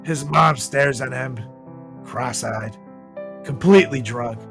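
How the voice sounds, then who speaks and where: A man podcast host narrating a comedic story with dramatic pauses, over background music. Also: medium pitch, creaky, a bit bright. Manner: dramatic pauses, drawn-out delivery.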